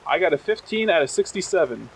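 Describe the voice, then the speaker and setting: A man talking casually as a tabletop game player, stating his moves in an informal, deliberate way. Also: on the high side, animated, vocal fry, a little hiss or hum, rough recording. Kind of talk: casual game play talk.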